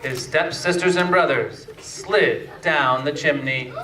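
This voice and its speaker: A man narrating a scripted fairytale slowly and deliberately, with pauses, as a storyteller. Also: a little high, bright, forceful tone, a faint crowd. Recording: echoey room, distant mic, faint noise.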